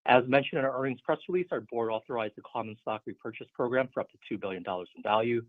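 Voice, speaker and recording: A man presenting as a finance executive, reading a formal scripted financial report in a steady, businesslike way. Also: mid-range voice, rapid-fire, dry recording. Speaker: finance executive on an earnings call. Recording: muffled narrow phone conference line.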